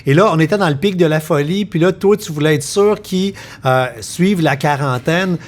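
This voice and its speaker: A man's voice: on the high side, moderate pace. A man with a Québécois accent hosting a podcast, teasing his guest in a jokey interviewing manner. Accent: Québécois French. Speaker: podcast host.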